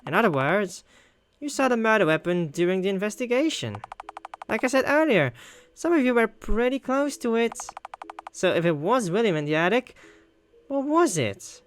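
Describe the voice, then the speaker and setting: A man's voice: high-pitched, sing-song, normal speed, slight background noise. A man voicing a mocking, commanding mastermind killer in a mystery game, theatrical in delivery. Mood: taunting, commanding.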